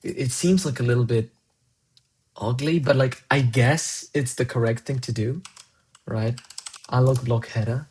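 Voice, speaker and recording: A man's voice: medium pitch, unhurried, pauses often, darkish tone, husky. Man thinking aloud while coding. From Colombia. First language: Spanish. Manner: muses aloud, answers chat between tasks. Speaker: programmer streaming live coding.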